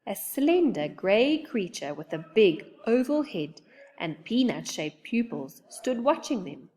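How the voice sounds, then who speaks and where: A woman reading a children's story aloud in an expressive narrating style. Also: mid-range voice, long reverb tail. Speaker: storybook reader.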